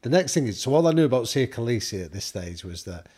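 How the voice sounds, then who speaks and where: A man's voice: medium pitch, sing-song, fast, warm tone, breathy edge. A man from the UK, a guest expert, telling anecdotes in casual conversation and acting out the quoted dialogue. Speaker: guest expert on high performance. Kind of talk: conversational storytelling.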